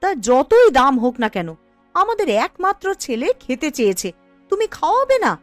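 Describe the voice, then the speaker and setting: A woman voicing a pleading, nagging young mother in a cartoon, insistent and expressive. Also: high voice, normal speed, no echo, faint music behind. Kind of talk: cartoon voice acting.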